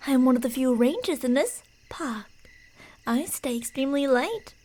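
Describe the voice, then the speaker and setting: A woman playing a creepy, delusional stalker posing as a park ranger in an ASMR roleplay, teasing and falsely sweet, slipping between soft speech and whispers. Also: high-pitched, expressive, normal speed. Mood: falsely sweet, menacing.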